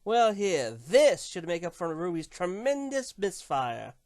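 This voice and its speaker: A woman playing a proud, gruff villainous empress pony with a smug, reserved manner in a dramatic reading. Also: fairly low pitch, big pitch swings, unhurried, darkish tone, no echo, clean studio sound. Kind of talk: fan fiction dramatic reading.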